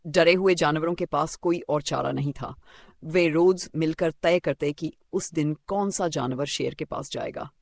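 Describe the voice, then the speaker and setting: Male narrator for kids' moral tales: higher-pitched, strong vocal fry, scripted storytelling delivery. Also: measured pace.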